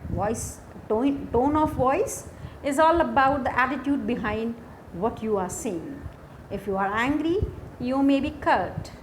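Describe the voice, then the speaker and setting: Woman, Indian accent, lecturer, unhurried deliberate delivery, slightly high voice, repeats key points. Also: expressive, a little hiss or hum, rough recording. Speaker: teacher, lecturer. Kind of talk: instructional lesson explaining slides.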